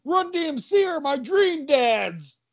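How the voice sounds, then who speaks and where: Tough-guy sidekick put on by a man, comedy storytelling, dim, goofy, high swooping voice, silly slang. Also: sing-song, slow pace, dry recording, highs cut off, exaggerated voice. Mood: panicked.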